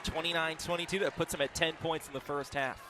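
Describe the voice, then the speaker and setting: A man calling live basketball play-by-play for radio in clipped, descriptive phrases that build to excited calls on big plays. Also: fairly high pitch, a little fast. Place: indoor basketball arena. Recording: live arena broadcast with game noise.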